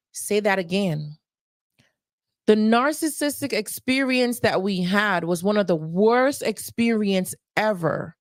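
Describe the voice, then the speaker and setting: A woman's voice: mid-range voice, no echo, clean studio sound. A woman life coach giving advice on a livestream, emphatic and repeating points for stress.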